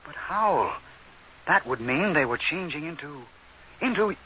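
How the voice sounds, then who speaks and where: An actor playing a commanding, skeptical spaceship captain in a forceful 1950s radio drama style. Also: male voice, fairly high pitch, expressive, unhurried. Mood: sober. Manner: forceful, questioning. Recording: dull, slightly noisy vintage radio recording.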